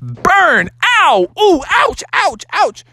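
A man voicing an excitable young boy bear who exclaims dramatically in a children's story. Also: very high-pitched, measured pace, no room sound, voice pushed up, full character voice. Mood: pained. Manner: wailing, exclaiming, melodramatic.

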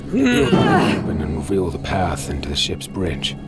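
An actor playing a hardened pirate scavenger in an audio drama, narrating in a grim, measured first person. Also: male voice, sits low, wildly swooping pitch. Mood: brooding. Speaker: narrator and lead character. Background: loud music.

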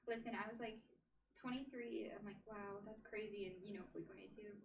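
A woman's voice: mid-range voice, steady tone, a little fast, gravelly, distant mic. A woman in her twenties chatting casually with friends, rambling and trailing off into near-whispers. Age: 20s. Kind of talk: casual chat among friends. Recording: roomy, off-mic, faint hiss.

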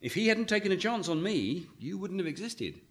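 A man's voice: high voice, very animated, normal speed. A man playing a reluctant, anxious novelist in a radio play, placating and weary in a hesitant conversational manner.

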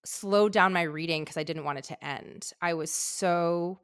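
A woman's voice: lowish voice, measured pace, clean tone, no room sound, studio quality. A woman hosting a conversational podcast interview, effusive and emphatic in the way she talks. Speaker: podcast host.

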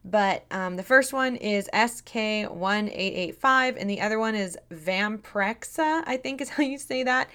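A woman hosting a podcast, her voice a little raspy and tired, talking in a friendly, chatty and earnest way. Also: medium pitch, unhurried, few pauses, sharp tone. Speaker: podcast host. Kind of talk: scripted podcast narration.

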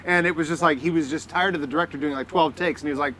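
A man's voice: a little high, fairly even intonation, rapid-fire, a bit bright, a little hiss or hum. A male co-host talking casually, with lots of "like". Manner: chatty, lots of "like". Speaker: podcast co-host. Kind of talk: casual chat. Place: outdoors on a busy street.

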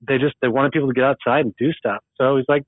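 A man's voice: medium pitch, steady tone, fast talker, no echo. Laid-back male guest from Oregon, chatty storyteller, narrow phone-band audio, dry. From Eugene, Oregon, USA. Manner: easygoing, laughs often, rambling storyteller. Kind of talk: casual podcast chat. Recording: tinny phone-like remote line.

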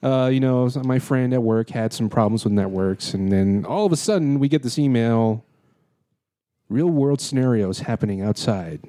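A man's voice: mid-range voice, normal speed, dark tone, dry recording, clean studio sound. A man hosting a casual podcast, telling a story in a rambling way with many fillers and restarts. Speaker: podcast host.

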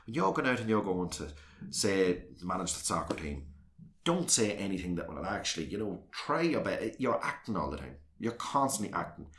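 A man's voice: sing-song, normal speed, fairly bright tone. A man from South Armagh speaking with a South Armagh accent as an interview guest, talking candidly and at length with frequent restarts. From South Armagh, Northern Ireland. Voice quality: deep voice. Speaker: guest, councillor and former soccer manager. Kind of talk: earnest conversational interview.

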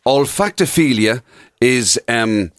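A man's voice: mid-range voice, animated, takes it slowly, no room sound. An Irish man co-hosting a radio show in casual, chatty banter.

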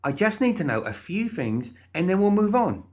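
A man's voice: high voice, big pitch swings, moderate pace, darkish tone, voice pushed up. A man voicing a perky, chatty undead woman in an audiobook, bubbly and pushy with coaxing false reassurance. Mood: chirpy, coaxing. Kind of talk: audiobook character voice.

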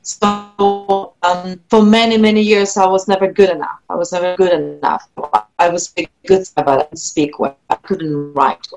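A woman whose first language is Polish talks in an interview, with a clear Polish accent. She is a singer-songwriter who speaks thoughtfully, often restarting her sentences and laughing softly. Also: on the low side, steady tone, a little slow, hardly any pauses, soft background music, poor audio. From Poland. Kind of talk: reflective interview talk.

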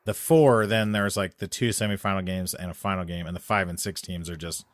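A man in casual chat, telling a long story that gets louder and more animated as it goes. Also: deep voice, fairly quick, no echo. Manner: animated storytelling, rising loud when excited.

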